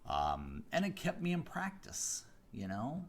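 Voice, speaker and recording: A man from the Chicago area, a mentalist hosting a live stream, chatting casually with viewers on a close studio mic, rambling with fillers and restarts but slowing down with deliberate pauses. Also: mid-range voice, wildly swooping pitch. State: voice deeper than usual today. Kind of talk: casual chat answering viewer questions. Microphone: close studio microphone.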